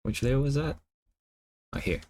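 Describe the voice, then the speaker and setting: A man whose first language is Cantonese, chatting casually on a livestream while he draws, musing aloud softly with many fillers. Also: mid-range voice, a little slow. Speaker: streamer.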